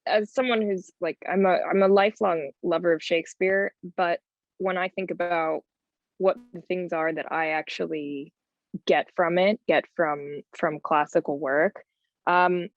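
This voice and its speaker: A woman's voice: lowish voice, no room sound. A woman, a panelist on a video call, answering thoughtfully and searching for her words. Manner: thoughtful, searching, many fillers. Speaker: actress, panelist. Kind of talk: thoughtful roundtable discussion. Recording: dry, dull video call audio.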